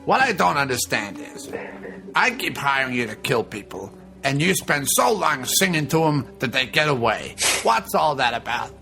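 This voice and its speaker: Guy joking through a movie commentary, mid pitch, faint music behind. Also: sing-song, normal speed, creaky, sharp tone. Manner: joking, riffing, mock-quoting characters. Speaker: podcast co-host. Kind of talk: casual movie commentary with jokey put-on voices.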